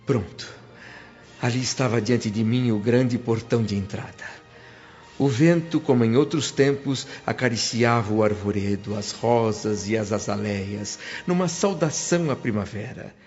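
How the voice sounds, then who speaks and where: A man's voice: mid-range voice, natural voice. Radio drama narrator, played by a man: wistful male spirit, mid-range emotive voice, slightly muffled sound. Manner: dramatic, emotive, literary phrasing. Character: sorrowful male spirit returning home, wistful and earnest. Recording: dull lo-fi sound under music.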